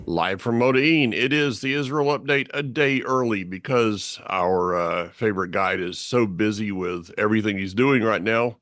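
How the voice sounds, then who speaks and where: A man's voice: on the low side, moderate pace, hardly any pauses, a bit bright, no room sound. A man hosting a news update programme in a casual, conversational style. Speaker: host.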